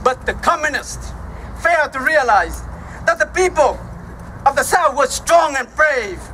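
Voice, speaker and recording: A man in his fifties with a Vietnamese accent delivers a formal speech at an outdoor ceremony, slow and halting with weighty pauses. His voice sits very high and sounds bright. Faint traffic noise hums behind him. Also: lively intonation, low-quality recording. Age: 50s. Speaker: guest speaker.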